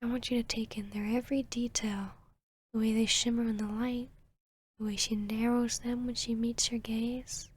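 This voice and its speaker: A woman's voice: on the high side, flat delivery, moderate pace, husky. A woman voicing a seductive, arrogant vampiress posing as a therapist in an ASMR roleplay, half-whispering in a soothing, commanding, teasing way. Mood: soothing, probing. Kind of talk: ASMR roleplay, hypnotic guided induction.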